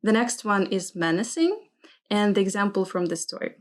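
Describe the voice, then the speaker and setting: A woman's voice: medium pitch, moderate pace. A woman who is a non-native English speaker leads a vocabulary lesson, carefully reading example phrases and asking what they mean. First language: not English. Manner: inquisitive, careful, checking meanings. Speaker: host of a vocabulary segment.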